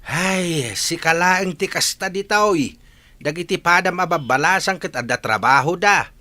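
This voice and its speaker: Man acting bright, sharp-voiced grumbling old father. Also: high-pitched, animated, measured pace, dry recording, heavily acted. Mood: scolding. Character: grumbling, scolding old village farmer father. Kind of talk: Ilocano comedy radio drama acting.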